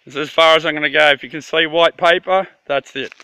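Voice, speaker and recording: Sweary Australian man, bright high-set voice. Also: steady tone, fast, rough recording. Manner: laid-back, sweary, slangy, joking. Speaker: camper filming a campsite tour. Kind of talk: casual handheld video tour. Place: outdoors at a bush campsite. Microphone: handheld phone or camera. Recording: outdoor handheld recording with clear background noise.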